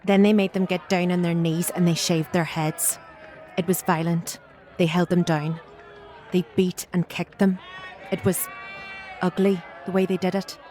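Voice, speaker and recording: A woman's voice: fairly low pitch, level tone, a little slow, darkish tone, soft background music, natural voice. A woman in an audio drama playing a sombre witness who gives stark testimony. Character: sombre witness to public shaming.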